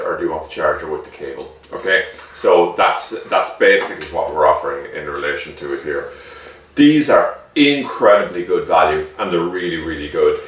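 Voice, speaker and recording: A man giving a casual, chatty product demonstration, explaining step by step like a shopkeeper and often checking in with the listener. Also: deep voice. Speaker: shop owner presenting a product. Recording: echoey room, distant microphone.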